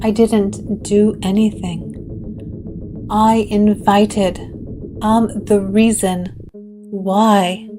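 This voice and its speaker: A woman's voice: mid-range voice, flat delivery, very slow, long pauses, over music. A woman voicing a shaken, guilt-ridden, wry and exhausted woman in a gothic audio drama. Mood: shaken, guilty.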